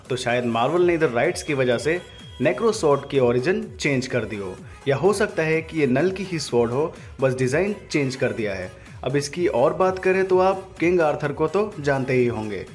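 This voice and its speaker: A man hosting an informal, opinionated movie breakdown as an explanatory voice-over. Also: a little high. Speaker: video host, trailer breakdown narrator. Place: voice-over recording.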